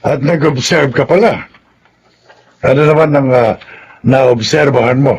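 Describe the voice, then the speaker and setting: A man's voice: mid-range voice, measured pace, husky. A man playing a stern, controlling older patriarch in a Filipino radio drama, authoritative and insistent, with a Filipino accent. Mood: firm, dismissive.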